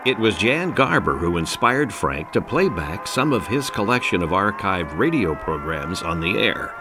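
A man's voice: low-pitched, sing-song, moderate pace, music in the background. A man reading scripted narration for a documentary.

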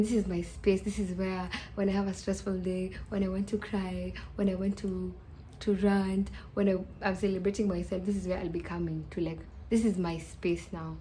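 A woman's voice: mid-range voice, dark tone, slight background noise, rough recording. Chatty young woman vlogging at home. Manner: chatty, upbeat, thinks aloud with restarts. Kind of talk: casual vlog to camera. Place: small empty apartment room indoors.

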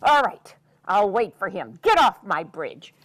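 Woman playing a storybook troll, loud, menacing, slow-spoken. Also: big pitch swings. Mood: gruff menacing. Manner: booming and threatening. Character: gruff loud male troll under a bridge.

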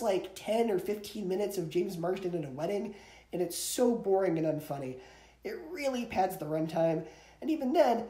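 High-voiced young man in an echoey room. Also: dark tone. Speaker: movie reviewer. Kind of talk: casual scripted video review, countdown list. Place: small indoor bedroom with posters. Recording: roomy, echoey home recording.

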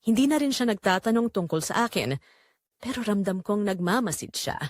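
A woman's voice: medium pitch, lively intonation, fairly quick, no echo, clean studio sound. A woman narrating a scripted dramatic story in the first person.

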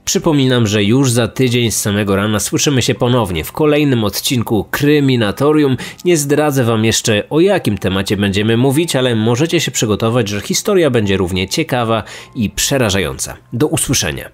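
A man's voice: mid-range voice, lively intonation, brisk, few pauses. A man hosting a podcast, telling true stories as scripted documentary narration. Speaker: true crime podcast host.

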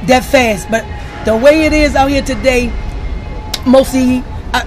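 A middle-aged woman speaks in a somewhat high voice. Her talk is casual but emphatic, built on lists and repeats. She is outdoors, with some music faintly behind her. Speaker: local resident being interviewed. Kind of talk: casual street interview. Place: outdoors on residential stoop.

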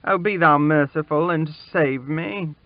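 A man's voice: mid-range voice, little pitch movement, slow pace, smooth, no room sound, pitched above the performer's own voice, lightly acted. A man reading aloud and voicing a frightened poor boy who pleads and stammers in old-fashioned speech. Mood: pleading. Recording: dull lo-fi dry recording.

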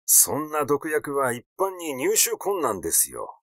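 A man's voice: on the high side, huge pitch swings, measured pace, a bit bright, no room sound, studio quality, full character voice. A man voicing a cautious, defensive male doctor in an audiobook, formal and dismissive in manner. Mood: evasive, defensive.

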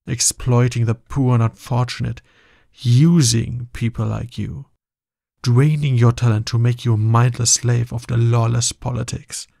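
A man playing a smug, seductive, dominant villain in an ASMR roleplay, husky and breathy, with a slow, condescending, teasing manner. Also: medium pitch, level tone, warm tone, dry recording, studio quality. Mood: scornful, intense. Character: smug, seductive, dominant male villain courting his hero nemesis.

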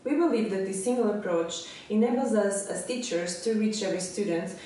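A woman from Portugal, a maths teacher, reading a scripted presentation in a measured, deliberate way. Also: mid-range voice, mellow. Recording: very echoey room, distant mic, faint ambience.

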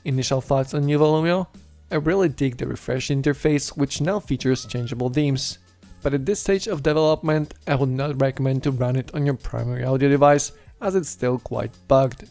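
Male tech presenter for scripted tutorial voice-over, noticeable vocal fry, music bed underneath. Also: medium pitch, fairly quick, a little mellow. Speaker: tech video host. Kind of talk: scripted how-to voice-over narration. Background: background music.

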